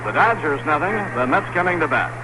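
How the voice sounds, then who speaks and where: A man doing play-by-play commentary of a baseball game on radio, steady and even with quick rises on plays. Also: on the high side, music in the background. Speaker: play-by-play announcer. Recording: noisy old 1960s radio broadcast.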